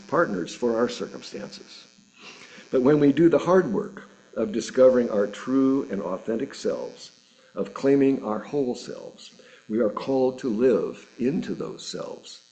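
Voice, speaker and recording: A man reading a written sermon at a church service, in measured, deliberate phrases with pauses. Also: medium pitch, expressive, a little mellow, low-quality recording. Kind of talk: sermon read from a written script.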